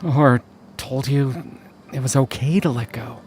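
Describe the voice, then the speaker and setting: A man voicing a gentle, sorrowful mortician in a story, murmuring to the dead in a hushed, tender, wistful manner. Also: medium pitch, a little slow, a little hiss or hum, voice pushed up, close to an everyday voice.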